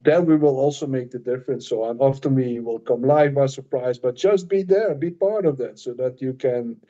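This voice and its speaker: Dutch guy cohosting a podcast, halting, restarts a lot, kinda muffled sound. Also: mid-range voice, dark tone. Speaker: podcast co-host and author. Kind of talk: casual podcast chat.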